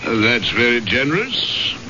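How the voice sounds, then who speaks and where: Worldly, genteel English aristocrat; self-assured voice, expressive, vintage radio drama with muffled sound. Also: male voice, mid-range voice, moderate pace, background noise. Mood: genial. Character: hearty, worldly English aristocrat, big-game hunter. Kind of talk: old radio drama acting.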